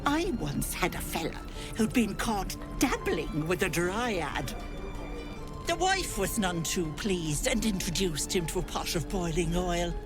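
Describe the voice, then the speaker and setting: An old auntie who fusses sweetly, her voice lively and swooping high and low. She talks slowly and sing-song, a sly hag hiding behind kindness. Game music plays under her. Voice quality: fussing, sing-song, grandmotherly. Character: sweet-talking old woman, a hag in disguise.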